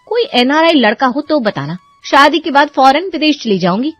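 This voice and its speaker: A woman's voice: high voice, big pitch swings, moderate pace, dry recording, lo-fi sound, faint music behind. A woman voicing a picky, haughty young unmarried daughter in a cartoon story. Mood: dismissive, picky. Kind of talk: Hindi cartoon story voice acting.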